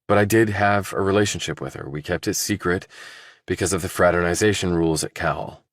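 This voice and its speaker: A man acts out a desperate young man suspected of murder, very low in pitch. He is blunt, earnest and insistent, as audiobook dialogue in a dry recording. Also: brisk, no room sound, natural voice. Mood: defensive, insistent.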